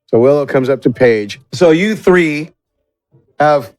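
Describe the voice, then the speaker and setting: A man's voice: on the high side, lively intonation, slow, a little mellow, faint music behind. A man working as game master, narrating an improvised tabletop game in a casual, sweary way and acting out characters. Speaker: game master and show host.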